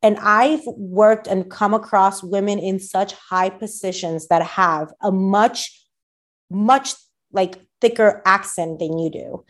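A woman's voice: mid-range voice, steady tone, takes it slowly. A woman co-hosting a podcast who talks in an emphatic, conversational way. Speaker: podcast co-host. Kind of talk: casual chat.

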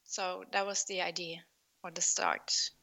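Middle-aged woman, Norwegian accent, unhurried and thoughtful, hesitates with fillers, crisp forward tone, online call. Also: fairly low pitch, level tone, sharp tone, rough recording. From Norway. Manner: thoughtful, pauses often with fillers, light laughs. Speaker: guest, fashion designer. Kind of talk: remote interview conversation.

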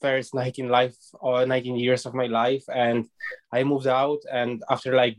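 A man's voice: medium pitch, little pitch movement, normal speed. Hesitant man. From Poland. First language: Polish. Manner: thoughtful, hesitant, searches for words. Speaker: guest. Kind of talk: reflective discussion.

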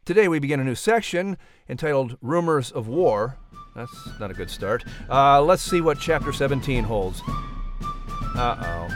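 A man announcing and narrating a scripted podcast in an animated, theatrical way. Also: mid-range voice, normal speed, faint music behind.

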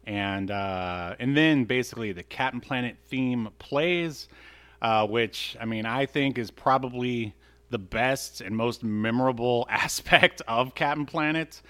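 A man's voice: medium pitch, a little slow, bright, forceful tone, clear, resonant tone. A man co-hosting a podcast, chatting casually in a joking, teasing way as he recaps things. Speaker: podcast co-host.

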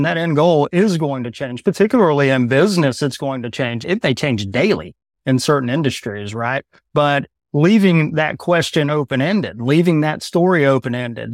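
A man's voice: a little high, no echo, clean studio sound. A man in his thirties, a guest on a podcast, talking bluntly and loosely in casual conversation. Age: 30s. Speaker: podcast guest, video business owner.

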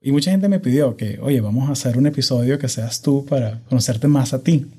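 Energetic Venezuelan man, mellow voice. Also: mid-range voice, a little fast, husky. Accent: Venezuelan Spanish. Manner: energetic, hyperkinetic, mixes English words. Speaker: podcast host. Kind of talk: casual conversational podcast. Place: home podcast studio. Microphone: studio microphone.

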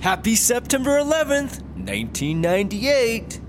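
Excitable, eager young traveler, man playing, lively pitch, noisy plane-cabin background. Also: moderate pace. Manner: excitable, pitch jumps high when excited. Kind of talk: comedy audio drama acting.

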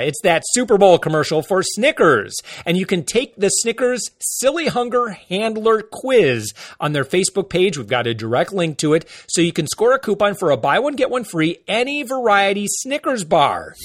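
A man hosting a casual money-saving podcast, chatty and joking between coupon deals. His voice sits a bit high and moves animatedly. The sound is dry and close. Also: no room sound. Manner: chatty, jokey, many "uh" fillers. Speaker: podcast host. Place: Florida.

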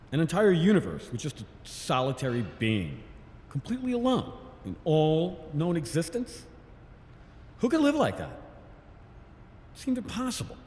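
An actor playing a haunted middle-aged physicist, grave and dramatic, in a sci-fi audio drama. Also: male voice, medium pitch, a little mellow, natural voice. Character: haunted middle-aged physicist father leading a science team. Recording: echoey reverberant room, faint noise.

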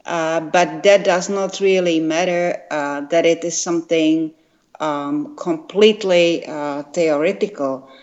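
Slow-spoken Czech-accented retired woman. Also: sits low, a little mellow. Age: older. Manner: hesitant, many fillers, careful explaining. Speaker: guest, retired quantum chemist. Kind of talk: interview answers. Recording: dull lo-fi sound.

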